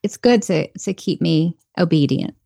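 A woman's voice: low-pitched, animated, unhurried, creaky, mellow, no echo, studio quality. A woman guest on a podcast interview, talking earnestly and reflectively about faith and leadership. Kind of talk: conversational interview.

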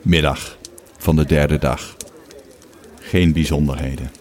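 A man's voice: a bass voice, fairly even intonation, slow, very noisy. A man reading scripted narration for an audio drama.

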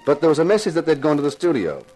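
An animated hardboiled radio sleuth. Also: male voice, on the high side, rapid-fire, breathy edge. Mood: wry narration. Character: wisecracking male TV detective actor playing amateur sleuth, persuasive. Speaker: lead actor and narrator. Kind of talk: 1950s radio detective drama acting. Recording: vintage 1950s radio recording.

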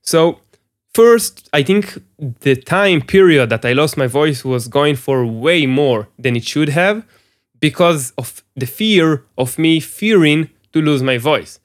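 A man's voice: on the high side, expressive, slow. A man hosting a solo podcast, speaking earnestly through throat pain, with frequent restarts, repeated words and questions to the listener. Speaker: podcast host.

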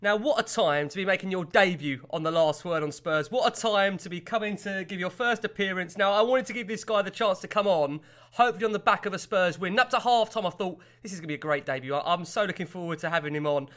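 A man's voice: sits high, quick pace, fairly bright tone. A man hosting a football fan podcast, chatty and welcoming, sounding exasperated about the game. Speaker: podcast host. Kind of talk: casual podcast chat.

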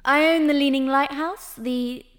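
An actress playing a poised, sly tavern madam in a fantasy audio drama, courteous, coy and measured. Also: female voice, high voice, steady tone. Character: poised, sly female tavern and brothel owner.

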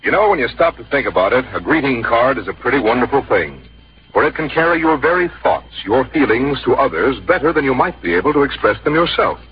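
An American man working as a radio announcer, reading a scripted 1950s sponsor message in a polished, measured, earnest way. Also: medium pitch, sing-song, husky. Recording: muffled old radio broadcast.

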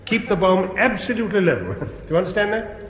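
An actor playing a jovial, stammering English bomb disposal officer in a radio sitcom. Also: male voice, sits high, very animated, fast talker, a bit bright. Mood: breezily apologetic. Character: chirpy, dithering male bomb disposal officer. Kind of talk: 1970s radio sitcom acting. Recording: echoey, noisy old radio recording.

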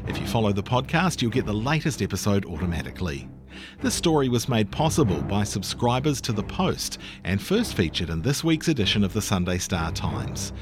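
Lively-toned man reading podcast credits over music. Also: on the low side. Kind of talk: scripted podcast outro.

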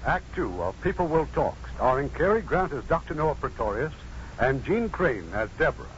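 A man's voice: a little high, very animated, moderate pace, noisy background. A man hosting a 1950s radio drama, reading scripted narration on a muffled old recording. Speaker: producer and host.

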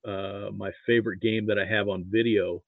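An American man, middle-aged or older, reminiscing casually as an interview guest in a halting way, often repeating words. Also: fairly low pitch, steady tone, no room sound. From Nebraska, United States. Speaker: interview guest, football archivist. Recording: thin phone-like line.

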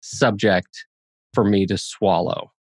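Expressive male podcast host, dry close sound. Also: lowish voice, takes it slowly, no echo. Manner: expressive, playful dramatic emphasis. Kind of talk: casual podcast chat.

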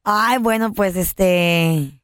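A flirtatious woman in the middle of a prank call, teasing and playful with her caller. She slips into English now and then. Her voice sits at a middle pitch and sounds close and dry. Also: drawn-out words, clean tone, dry recording. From United States, family from Honduras.

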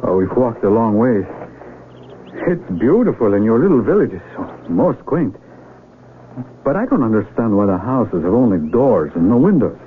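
An actor playing a polite, bewildered young mountaineer in a radio drama, earnest and a little hesitant. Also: male voice, fairly low pitch, sing-song, normal speed. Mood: puzzled. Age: young adult. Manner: hesitant, polite, exclaiming in wonder. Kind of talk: 1970s radio drama acting. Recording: tinny, noisy 1970s AM radio recording.

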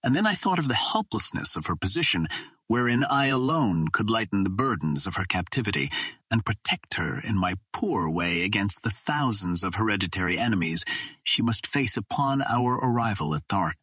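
Low, husky male audiobook narrator. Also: big pitch swings, moderate pace, fairly bright tone, dry recording. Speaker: audiobook reader. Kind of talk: scripted first-person narration of an adventure novel.

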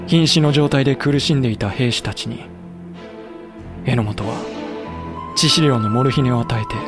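An actor playing a composed, grave samurai official who speaks and narrates in a measured way in a Japanese period voice drama. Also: male voice, mid-range voice, lightly acted. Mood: solemn.

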